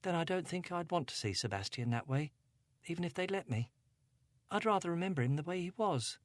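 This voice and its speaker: A man reading aloud, voicing a grieving, anxious teenage girl in a storybook with a soft, breathy voice. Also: fairly high pitch, lively intonation, fairly quick, dry recording, voice pushed up, lightly acted. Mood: upset.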